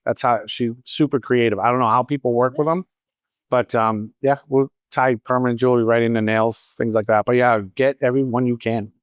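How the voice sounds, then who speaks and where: A man's voice: medium pitch, steady tone, brisk, clear, resonant tone, no echo. A man in his fifties from Boston, with a slight Boston accent, chatting as a salon owner who answers audience questions and tells stories with wry asides. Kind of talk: casual Q&A talk at a training event.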